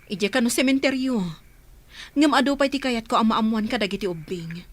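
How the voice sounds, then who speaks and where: A woman's voice: on the high side, brisk, lightly acted. An actress playing a caring, earnest woman who helps orphans, asking concerned questions in an audio drama.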